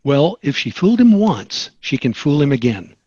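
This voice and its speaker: A man's voice: mid-range voice, very animated, normal speed, darkish tone, husky, no echo. A man playing a genial, upbeat sitcom dad in a table read, chipper and reassuring. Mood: genial, firm. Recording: slightly dull, lo-fi online call.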